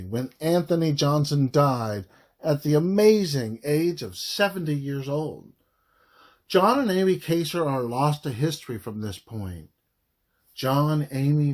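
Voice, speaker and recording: A man reading a scripted audiobook narration in a deliberate way, phrase by phrase with frequent pauses. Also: fairly high pitch. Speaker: author reading own audiobook.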